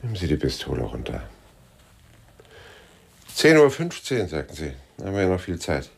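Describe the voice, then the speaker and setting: An actor playing a terse, world-weary private detective with a gravelly voice and a dry, blunt manner in a radio crime drama. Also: male voice, deep voice, wildly swooping pitch, moderate pace, exaggerated voice. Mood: blunt, controlled. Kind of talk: radio crime drama acting and first-person narration.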